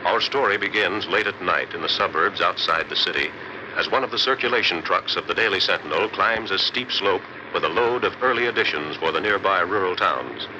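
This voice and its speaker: A man's voice: deep voice, fairly quick, lo-fi sound. Dramatic male 1940s radio announcer, noisy recording. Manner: dramatic, declamatory. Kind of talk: 1940s radio scripted narration.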